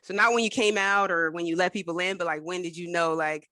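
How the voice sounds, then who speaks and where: A woman hosting an interview podcast, talking in an upbeat, casual and lively way. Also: lowish voice, a little fast. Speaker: podcast host. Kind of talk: conversational interview.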